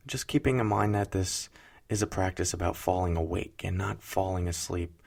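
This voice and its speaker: Very low, creaky-voiced man guiding meditation. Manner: gentle, unhurried, soothing delivery with pauses. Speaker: meditation guide. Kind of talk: guided mindfulness meditation.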